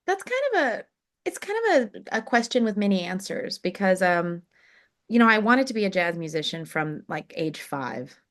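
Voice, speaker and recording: A woman's voice: medium pitch, very animated, normal speed. A woman in her thirties, a jazz singer, chatting in a podcast interview, with lots of fillers and easy laughs. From Oklahoma, USA. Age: 30s. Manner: chatty, warm anecdotes with many fillers and laughs.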